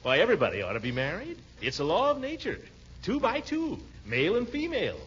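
A jovial middle-aged bachelor, smitten and eager, in an old radio comedy. His theatrical delivery swoops widely in pitch. The vintage recording is dull, with some noise. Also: huge pitch swings, a little fast, fairly bright tone, heavily acted. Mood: happy, gushing. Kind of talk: 1940s radio comedy acting.

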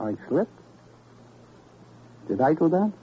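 An actor playing a cornered, bargaining murderer with slangy, wisecracking tough talk in an old radio crime drama. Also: male voice, on the high side, big pitch swings, slow pace, dark tone, slight background noise. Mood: sly, mocking. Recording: dull, muffled old radio recording.

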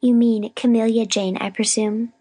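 A woman reading a storybook, playing a stern, sharp-tongued spinster with a grim, scolding manner. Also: fairly high pitch, steady tone, normal speed, no room sound, pitched above the performer's own voice, natural voice. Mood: accusing. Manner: grim, firm, scolding, sniffy.

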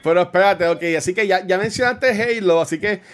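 High-voiced man hosting. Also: a little fast. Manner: playful, laughs often, teasing. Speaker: podcast host. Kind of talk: casual gaming podcast chat.

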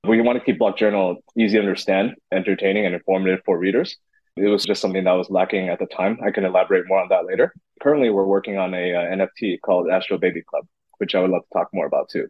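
Guy in his 30s on a podcast, real low voice, kinda dull dry sound, thinks out loud. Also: fairly even intonation, quick pace, breathy edge, no room sound. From Beijing, China. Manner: thoughtful, hesitant restarts. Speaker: podcast guest, entrepreneur. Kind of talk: interview answers.

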